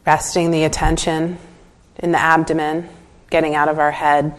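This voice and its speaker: Low-pitched woman guiding meditation. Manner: calm, gentle instructing with pauses. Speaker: meditation teacher. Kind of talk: guided meditation.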